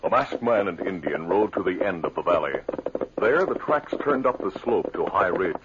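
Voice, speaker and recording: A man's voice: deep voice, expressive, normal speed, background noise. A man narrating a scripted 1950s radio western as its storyteller. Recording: muffled old radio broadcast. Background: music.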